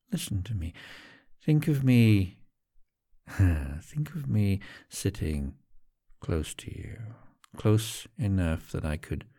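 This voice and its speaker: A man speaking softly and teasingly to the listener in an intimate monologue, often dropping to a whisper and chuckling between phrases. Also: deep voice, slightly rough, dry recording, studio quality.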